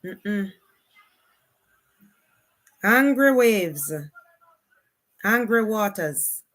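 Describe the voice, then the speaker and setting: A woman's voice: mid-range voice, lively intonation, fairly bright tone, poor audio. A woman with a Jamaican accent giving casual, unscripted live commentary as a streamer, often repeating her exclamations. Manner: unhurried, repetitive exclamations.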